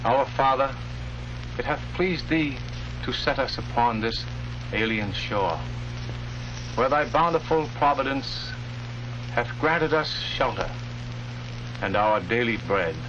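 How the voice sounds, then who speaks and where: Devout pioneer father, solemn halting prayer, very slow delivery, dull and noisy old film soundtrack. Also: mid-range voice, lively intonation. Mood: solemn, pleading. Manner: slow, halting, reverent phrasing. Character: devout settler father praying humbly over his sick son. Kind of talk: solemn archaic prayer in educational film drama.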